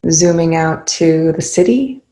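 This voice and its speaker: A woman leading a guided meditation, speaking calmly and without hurry, leaving long pauses between phrases. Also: low-pitched. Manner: calm, unhurried, with long pauses. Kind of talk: guided meditation, spoken softly to listeners.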